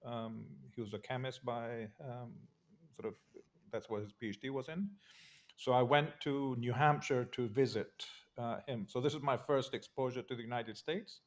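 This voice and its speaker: Older man recounting his life calmly. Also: medium pitch. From Argentina. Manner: relaxed, measured, with frequent um and uh. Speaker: interview guest, political scientist. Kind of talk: conversational life story. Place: home study with bookshelves. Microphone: video call webcam.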